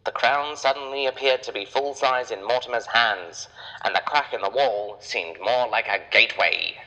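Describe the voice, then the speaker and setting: A man's voice: medium pitch, normal speed, bright, forceful tone, poor audio. A man narrating a children's adventure story in a dramatic, scripted storytelling style.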